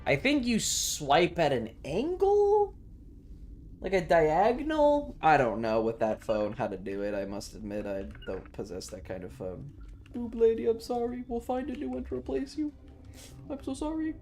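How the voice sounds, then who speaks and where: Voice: medium pitch, big pitch swings, normal speed, darkish tone, faint music behind. A streamer casually commenting on a game and chatting with viewers in a rambling, playful, profane manner. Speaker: live streamer.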